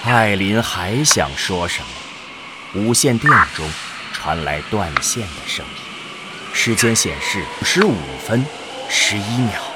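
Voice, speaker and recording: A man reading scripted narration for a science fiction audio drama in a deliberate, dramatic way. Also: lowish voice, expressive, heavy background noise.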